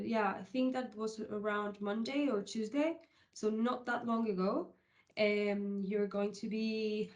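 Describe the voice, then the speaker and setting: She is a woman of thirty-one from Uruguay, speaking with a Uruguayan Spanish accent. Her voice sits at an average pitch for a woman. She presents informally in an online session, explaining things step by step with some hesitation. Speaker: presenter, HR technology consultant. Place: online video call session.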